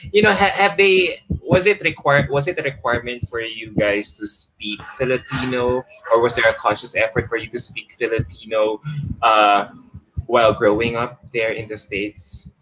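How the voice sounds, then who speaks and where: A man hosting a live-streamed interview, hesitant and restarting often, quick to laugh. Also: medium pitch, fairly bright tone, rough recording. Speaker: show host.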